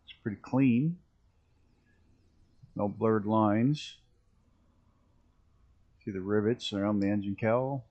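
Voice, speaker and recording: A man with a rough early-morning voice, chatting casually and rambling as he streams a flight simulator. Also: on the low side, slow pace, pauses often, dull audio. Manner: rambling, self-interrupting, chuckling at mishaps. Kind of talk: casual live-stream commentary.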